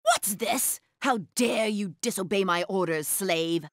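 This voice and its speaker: A woman's voice: medium pitch, unhurried, dry recording, clean studio sound, natural voice. A woman playing a haughty, cruel slave mistress in a video game, imperious and threatening. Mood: indignant. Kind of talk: dramatic video game villain acting.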